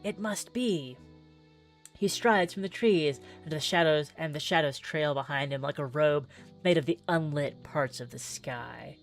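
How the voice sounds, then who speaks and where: A woman giving a dramatic, deliberate read-aloud of narrative game text, pausing for effect. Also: low-pitched. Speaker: streamer playing a visual novel demo. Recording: music playing under the voice.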